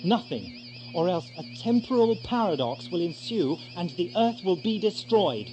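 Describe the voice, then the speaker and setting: An actor playing an eccentric, theatrical time traveller in an audio drama, brisk and self-mocking. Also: male voice, high voice, sing-song, darkish tone, rough recording. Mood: urgent, declaiming. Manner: theatrical, expounding, exclamatory. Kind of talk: 1980s amateur audio drama acting.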